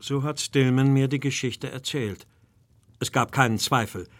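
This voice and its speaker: An earnest gentleman of formal bearing played by a male actor, telling his story in a mid-pitched man's voice. He is deferential and anxious, in the measured style of a radio play. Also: soft background music, natural voice. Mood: grave recounting. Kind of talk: German audio drama acting and narration.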